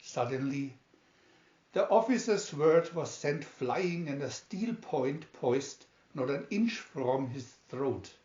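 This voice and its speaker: A man reading a story aloud as narrator, deliberate and halting phrase by phrase, with small stumbles. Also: fairly high pitch, animated, darkish tone. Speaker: amateur audiobook reader. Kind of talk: scripted story narration, read aloud slowly. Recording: roomy, dull home recording.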